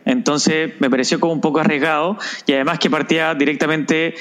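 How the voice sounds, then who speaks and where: A man's voice: on the high side, steady tone, brisk, fairly bright tone. A man speaking Spanish as a podcast guest, telling his story in a thoughtful way and often repeating words as he searches for the right phrasing. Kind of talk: conversational interview, reflective storytelling.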